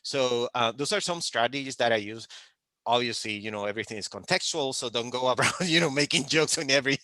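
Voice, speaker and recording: A man from Puerto Rico with a Puerto Rican accent and Spanish as his first language, giving informal advice as a panelist in an emphatic, halting way. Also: medium pitch, pushed and bright, dry recording.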